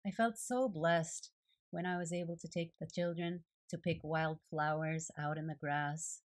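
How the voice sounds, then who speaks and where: Low-voiced woman, calm and devotional. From Japan. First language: Japanese. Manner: calm, gentle, unhurried. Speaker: art journaling creator. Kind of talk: reflective devotional voice-over while crafting. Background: faint guitar music.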